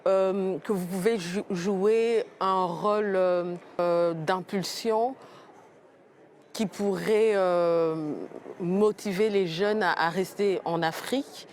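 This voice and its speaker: A woman moderating a panel debate, asking questions slowly and haltingly. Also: medium pitch, steady tone, darkish tone, slight background noise.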